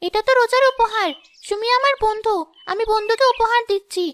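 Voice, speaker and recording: A woman's voice: very high-pitched, fairly even intonation, fairly quick, fairly bright tone, a little hiss or hum. A woman voicing a kind, thoughtful little rich girl in a cartoon, speaking earnestly. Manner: earnest, pleading. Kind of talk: cartoon voice acting.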